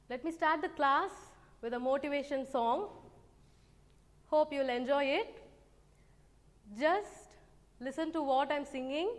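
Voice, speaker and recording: Woman teacher, very high voice, unhurried, reverberant room. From South India. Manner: slow, careful teacherly explaining, sings simple rhymes. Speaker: English teacher. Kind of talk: online grammar lesson for children.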